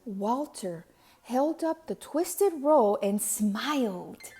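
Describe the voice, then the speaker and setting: Woman doing a kids read-aloud, unhurried, soft mellow tone, kinda high voice. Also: animated, breathy edge, soft background music. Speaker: storybook reader. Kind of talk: expressive children's read-aloud narration.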